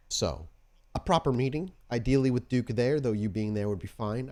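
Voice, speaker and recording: A street-wise, wary vampire woman played by a man, with a dark, mellow, slightly low voice. The delivery is blunt and guarded, with halting plain talk suited to a tabletop roleplay negotiation. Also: lightly acted.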